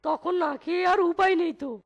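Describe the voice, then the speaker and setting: A woman's voice: very high-pitched, fast talker, creaky, lo-fi sound, noisy background. A woman voicing a whiny, complaining younger fox brother in a comic cartoon. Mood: grumbling.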